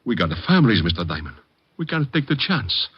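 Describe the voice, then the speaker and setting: Husky, worried butcher. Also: male voice, on the low side, big pitch swings, fast talker, heavily acted. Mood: worried, earnest. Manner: naive, hesitant, broken English. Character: worried, earnest immigrant male neighborhood butcher. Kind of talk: 1940s-50s radio drama acting. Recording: muffled vintage radio recording.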